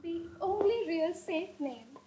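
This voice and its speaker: A woman's voice: very high voice, expressive, slow pace, warm tone, full character voice. A woman playing an imperious, fanciful young society lady in a stage comedy of manners, declaiming with affected, grand emphasis. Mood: haughty, rapturous. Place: indoor stage performance. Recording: roomy stage recording with faint noise.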